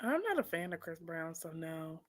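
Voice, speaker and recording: A woman's voice: low-pitched, sing-song, normal speed, dry recording. A woman co-hosting a casual podcast chat, playful and teasing, often restarting her sentences. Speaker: podcast co-host.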